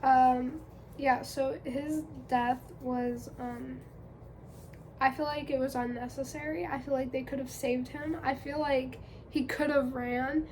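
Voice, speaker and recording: Congested young woman vlogger, high, unhurried, casual rambling chat. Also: steady tone, darkish tone, a little hiss or hum. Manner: chatty, rambling with fillers. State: congested from a cold.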